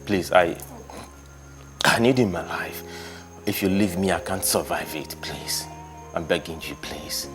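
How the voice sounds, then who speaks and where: A man's voice: low voice, a little slow, music in the background. An actor playing a husband who kneels and pleads with his wife not to leave him. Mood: pleading. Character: remorseful husband begging his wife to stay.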